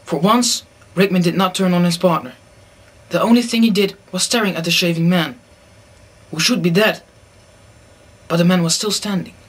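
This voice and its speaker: Casting: male narrator, notably high voice, scripted storytelling for audio drama. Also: moderate pace, pauses often, fairly bright tone, breathy edge, poor audio. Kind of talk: scripted radio play narration.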